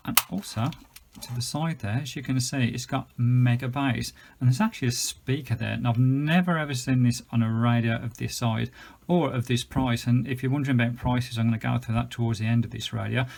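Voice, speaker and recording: A man's voice: medium pitch, brisk, vocal fry, a little mellow. A British man giving a chatty, enthusiastic, unscripted gadget review, explaining things as he goes. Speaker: product reviewer.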